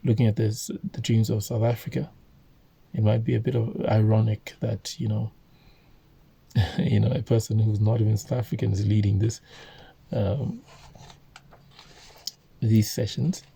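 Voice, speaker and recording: A man from Zambia reading a book aloud and commenting on it, halting often with fillers and self-corrections. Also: fairly low pitch, little pitch movement, gravelly, mellow, slightly rough.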